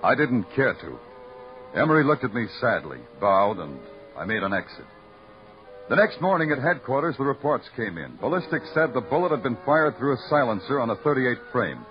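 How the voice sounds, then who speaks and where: A man's voice: medium pitch, very animated, normal speed, lightly acted. An actor playing a hardboiled male police lieutenant who narrates his case in a terse, wry, world-weary way. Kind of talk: hardboiled first-person radio narration. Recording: muffled old radio recording with music.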